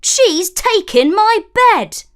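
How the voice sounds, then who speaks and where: A woman voicing small male dwarf miners in a storybook, kindly, fussy and expressive. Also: very high voice, very slow, pitched above the performer's own voice, heavily acted. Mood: plaintive. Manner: exclaiming, expressive.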